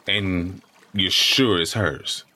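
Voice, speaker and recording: A man's voice: deep voice, very slow, sharp tone, slight background noise, heavily acted. A man playing a grieving father and brother with clipped, emotional delivery in an audio drama. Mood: sceptical, bitter. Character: grieving father, mild-mannered brother turning angry.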